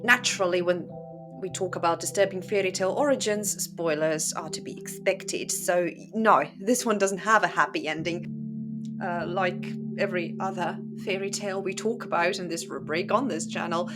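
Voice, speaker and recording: A woman's voice: fairly low pitch, normal speed. A woman hosting a channel, telling and commenting on stories in a casual, opinionated, sardonic and conversational way. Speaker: host of a book channel. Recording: music playing behind the voice. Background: instrumental music.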